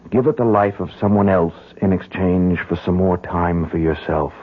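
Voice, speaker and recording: A man's voice: low-pitched, normal speed. An actor playing an earnest, determined man who explains things hesitantly in a 1970s radio drama. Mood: explaining, grave. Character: earnest, determined young man dabbling against occult forces. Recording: muffled, narrow-band 1970s radio recording.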